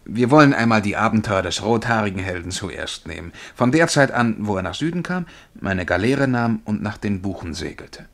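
A man's voice: fairly low pitch, lively intonation, moderate pace, few pauses. An actor playing a touchy, self-satisfied, dreamy young man in a radio play, speaking with earnest self-assurance. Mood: smug, eager.

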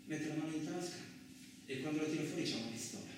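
An actor playing a frightened, injured farm labourer, telling his story in the first person as a halting, repetitive theatrical radio monologue. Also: on the high side, background noise, close to an everyday voice. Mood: hushed, fearful. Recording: very echoey, distant, loud music behind. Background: music.

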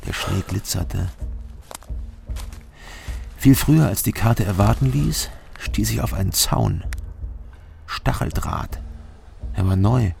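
An actor playing a terse, world-weary investigator who narrates a crime radio drama in short, clipped phrases. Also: male voice, on the low side, slow, gravelly, pauses often, darkish tone, full character voice. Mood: tense, matter-of-fact. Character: terse, world-weary male investigator recounting his case. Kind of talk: scripted first-person crime narration. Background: music.

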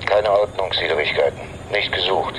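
An actor playing a matter-of-fact police colleague reading out records in a clipped way in a radio drama. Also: male voice, sits low, normal speed, heavy background noise, close to an everyday voice. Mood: businesslike. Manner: clipped, factual.

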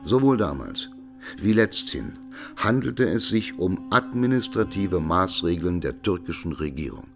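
An actor playing a learned expert witness in a radio drama, giving a formal, deliberate, lecturing courtroom statement. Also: male voice, low voice, very animated, creaky, over music, full character voice. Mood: sober, lecturing.

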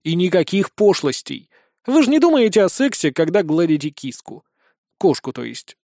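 High-pitched male audiobook narrator. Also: sing-song, normal speed, gravelly, warm tone, no room sound, clean studio sound. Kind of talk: expressive first-person fiction narration.

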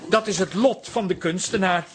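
A man's voice: high-pitched, moderate pace, slight background noise, lightly acted. A man playing a dreamy, easily offended would-be artist photographer in a radio comedy, gushing and affronted by turns.

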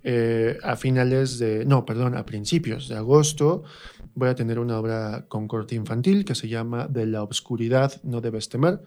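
A man with a central Mexican accent talking casually as an interview guest, animated and repeating phrases for emphasis. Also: medium pitch, normal speed. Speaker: radio guest, actor and theatre teacher.

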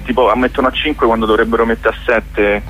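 A man's voice: medium pitch, little pitch movement, fast talker, lo-fi sound. A man with a Roman accent chatting casually as a guest director in a radio interview, sarcastic, slangy and sweary. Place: radio studio.

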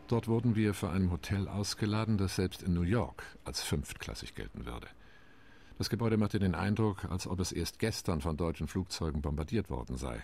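An actor playing a UN commission delegate in a radio play, giving a measured, wry and indignant account. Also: male voice, very deep voice, gravelly, darkish tone, husky, heavily acted. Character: male UN delegate, dignified, quietly indignant.

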